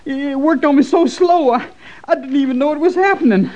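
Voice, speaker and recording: A man's voice: extremely high pitch, a little fast, darkish tone. A man playing an anguished, ashamed young army deserter, stammering and pleading, in an old radio western. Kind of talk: 1940s-50s radio western acting. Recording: muffled old radio recording.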